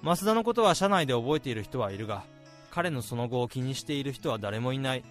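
A man playing a reflective salaryman musing in a measured radio drama monologue, with music behind. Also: medium pitch, lively intonation, close to an everyday voice. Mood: wistful, reflective. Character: wistful male salaryman narrator musing on a departed colleague.

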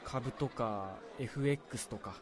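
A man's voice: medium pitch, measured pace, close to an everyday voice. A man acting an earnest, self-doubting young salaryman in a radio drama, speaking deferentially and confidingly. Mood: earnest recounting. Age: young adult. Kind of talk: Japanese radio drama dialogue.

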